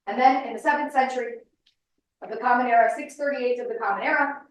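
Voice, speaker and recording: A woman, giving an emphatic, insistent public lecture to a room full of people. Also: fairly high pitch. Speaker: speaker. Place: large room with audience. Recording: echoey hall, mic at a distance.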